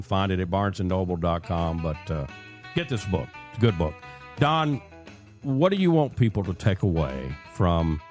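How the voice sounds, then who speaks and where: An American man hosting a conversational radio interview in a deliberate, emphatic way, repeating phrases for effect. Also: fairly low pitch. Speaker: radio show host.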